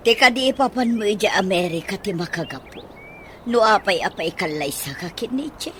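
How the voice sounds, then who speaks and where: Animated, disapproving Ilocano mother played by a woman. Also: medium pitch, moderate pace, a little hiss or hum, lightly acted. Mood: reproachful. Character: disapproving middle-aged mother scolding her daughter. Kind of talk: Ilocano radio drama acting.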